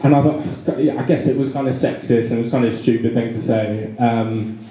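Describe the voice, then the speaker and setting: A man's voice: medium pitch, fairly even intonation, fairly quick, dark tone. A young man with a London accent doing a stand-up set, rambling with lots of fillers and restarts, self-deprecating. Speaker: stand-up comedian. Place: small pub comedy room with a live audience. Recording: very echoey room. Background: audience laughter.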